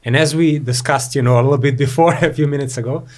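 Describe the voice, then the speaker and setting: A man's voice: mid-range voice, fast talker, darkish tone. Rambling man from Croatia, says "you know". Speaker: podcast guest, entrepreneur. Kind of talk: casual interview chat.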